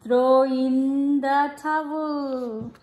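A woman with a high-pitched voice reads a picture book aloud to a child. She takes it slowly and expressively, checking in with questions. The room around her is echoey. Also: fairly even intonation, a little mellow. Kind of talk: storybook read-aloud with chat to a child. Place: room at home, at a glass table.

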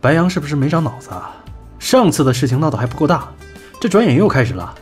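An actor in a Chinese audio drama playing a sharp-tongued entertainment boss, blunt, scolding and colloquial. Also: male voice, fairly high pitch, big pitch swings, fast talker, soft background music. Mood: exasperated.

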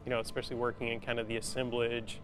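A man in his forties, a metal artist, talking reflectively and haltingly in a documentary interview, with lots of casual fillers, on a lapel mic. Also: medium pitch, completely flat delivery, fairly bright tone, rough recording. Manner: halting, thoughtful, filler-heavy casual speech with many "you know"s. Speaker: metal sculptor being interviewed. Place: large indoor metal workshop. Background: instrumental music.

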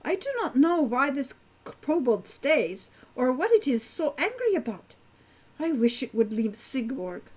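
A cheerful young centaur girl from a storybook, polite and slightly stiff in her phrasing, voiced by a woman reading aloud. Her voice sits high and moves lively. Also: measured pace, slightly rough, heavily acted. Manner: stiff formal phrasing without contractions.

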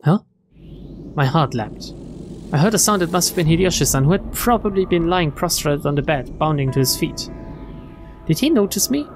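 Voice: mid-range voice, animated, moderate pace, long pauses. A streamer reading visual novel text aloud in a dramatic narrating style. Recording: game music behind the voice.

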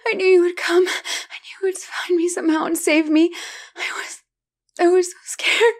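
A woman playing a battle-hardened but tender, devoted female knight in a romantic ASMR roleplay, speaking in a breathy, hushed, emotional way. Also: very high voice, steady tone, moderate pace. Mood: frightened, tearful.

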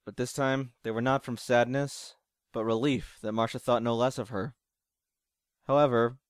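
A man narrates an audio drama from a script. His voice sits at a medium pitch, and the recording is dry, with no room echo. He reads as a storyteller. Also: smooth.

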